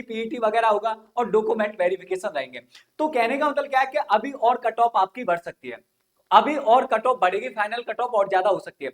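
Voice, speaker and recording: A man teaching an informal online exam-coaching lesson, explaining things repetitively and often checking that listeners follow. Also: very high-pitched. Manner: repetitive, frequent check-in questions.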